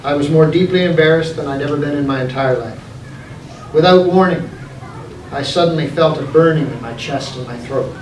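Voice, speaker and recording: A man with a fairly high voice reads his own story to be heard live. He is theatrical and deliberate, leaning on dramatic pauses. The recording is distant and echoey, with clear noise behind him. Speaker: author reading from his own book. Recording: echoey, noisy room, distant mic.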